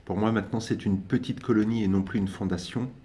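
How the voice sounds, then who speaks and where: A man's voice: fairly low pitch, fairly even intonation, fast, dark tone. A young man casually commenting while filming his ant colony, hesitating often with fillers and restarts. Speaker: ant-keeping hobbyist vlogger.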